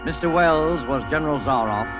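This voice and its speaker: A man narrating and announcing a radio show in a measured, dramatic, formal 1940s style. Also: a little high, animated. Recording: tinny old radio broadcast. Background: loud orchestral music.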